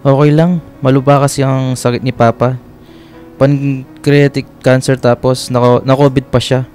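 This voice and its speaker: A man's voice: medium pitch, level tone, normal speed, darkish tone, faint music behind, close to an everyday voice. A man playing a polite, shy and friendly young Filipino man in a radio love drama, hesitant and apologetic. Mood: subdued.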